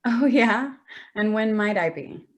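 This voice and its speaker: A woman's voice: on the high side, slow. A woman playing a hurt, sarcastic adult daughter in a staged play reading, dry and confrontational with her mother. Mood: sardonic, challenging.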